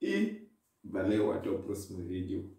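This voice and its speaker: A man's voice: low-pitched, sing-song, unhurried, gravelly, warm tone. Mozambican man in an echoey room. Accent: Mozambican Portuguese. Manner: cheerful, laughing asides. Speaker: reaction video host. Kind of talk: casual reaction commentary. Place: indoors against a plain wall. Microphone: wired earphone mic. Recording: echoey, distant room sound.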